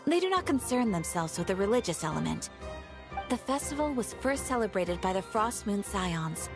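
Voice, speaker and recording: A woman's voice: mid-range voice, sing-song, moderate pace, over music. A woman playing a polite, composed guild receptionist in a video game, speaking formally and helpfully. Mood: informative. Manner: formal, courteous, explanatory. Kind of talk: scripted video game dialogue.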